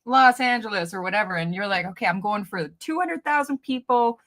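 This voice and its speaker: A woman's voice: mid-range voice, expressive, a little fast, fairly bright tone. Chatty Canadian woman hosting an interview. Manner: chatty, laughing, advice-giving. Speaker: host, cleaning business coach. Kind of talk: casual interview chat.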